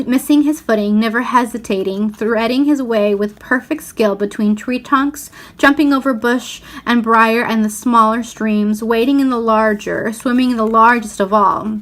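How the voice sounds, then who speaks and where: A woman narrates a children's storybook in a fairly high voice. She reads expressively, shaping each phrase with deliberate care. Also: steady tone, fairly bright tone. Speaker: storyteller reading aloud.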